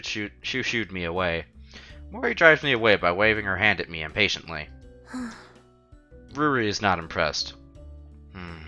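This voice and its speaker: A man reads a visual novel aloud for a stream, mixing narration with casual asides. His voice is bright and forceful, and his delivery is playful. The sound is a little dull and lo-fi, with music playing behind him. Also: on the low side. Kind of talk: reading story text aloud with casual commentary.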